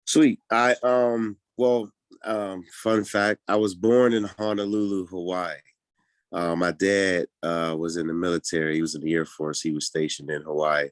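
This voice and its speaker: A man with a very low voice speaks in a relaxed, easygoing way, full of ums and small restarts as he tells his story. He is in his thirties and from Pittsburgh, recorded dry and close. It sounds like a casual podcast interview. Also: unhurried, no echo. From Pittsburgh, United States. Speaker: podcast guest, bodybuilder.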